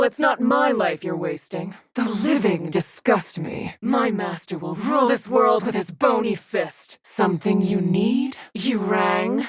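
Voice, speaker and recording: An actress voicing an undead woman warrior in a game, cold and menacing with dry, deadpan humor and a husky voice. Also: medium pitch. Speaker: video game character. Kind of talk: game voice lines.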